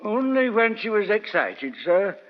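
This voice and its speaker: An actor playing a simple, deferential household servant, speaking haltingly and respectfully in an old-time radio play. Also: male voice, high-pitched, very animated. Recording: dull old radio recording, long reverb.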